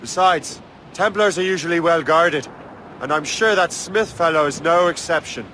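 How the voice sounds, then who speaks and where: A cocky young Irish sea captain for a video game. His voice sits fairly high, and he talks with the confidence of someone in command. Wind and surf are clearly heard around him. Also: moderate pace, pushed and bright. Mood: brisk, confident. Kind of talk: video game cutscene acting.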